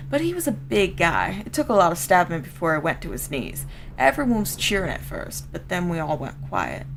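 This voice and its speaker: A woman's voice: fairly low pitch, creaky, slight background noise. A woman voicing a folksy, streetwise street-gang member telling an old story, with a Southern US accent. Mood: grimly relishing. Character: rough street-gang storyteller, plain-spoken old comrade of a boss. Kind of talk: dramatic first-person story reading.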